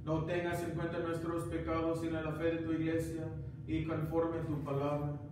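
Priest praying in an echoey chapel. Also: male voice, fairly high pitch, moderate pace. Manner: steady recited prayer, chants some parts. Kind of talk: liturgical prayer at Mass. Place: small chapel, indoors. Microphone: far camera. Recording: echoey chapel, distant mic, background hiss.

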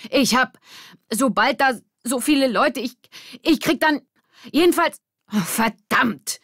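Unsicherer, frustrierter Junge von einer Frau gespielt. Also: female voice, high-pitched, a bit bright, no echo, studio quality, pitched above the performer's own voice, full character voice. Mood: desperate. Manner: halting, emphatic. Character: insecure, frustrated boy with stage fright.

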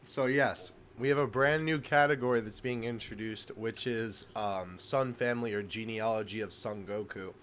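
A man's voice: fairly low pitch, normal speed, few pauses, a bit bright, slight background noise. A man hosting a casual gaming news chat. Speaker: host.

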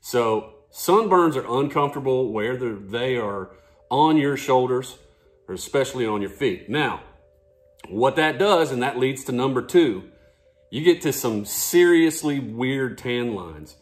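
A man's voice: mid-range voice, expressive, unhurried. Casual middle-aged man, conversational fishing vlogger. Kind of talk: casual explainer to camera. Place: living room at home.

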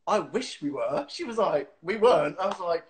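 A man's voice: high voice, big pitch swings, moderate pace. A man in his thirties hosting a casual video-call interview from a small room at home, chatty and giggly with lots of fillers and restarts. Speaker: host. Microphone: webcam on a video call.

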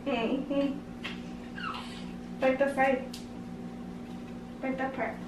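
A woman's voice: high-pitched, flat delivery, drawn-out words, lo-fi sound. A young woman chatting casually with small children at home, coaxing them in short playful phrases. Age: young adult. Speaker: family vlogger and mother. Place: indoors at home, kitchen. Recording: roomy home recording, distant mic, faint noise.

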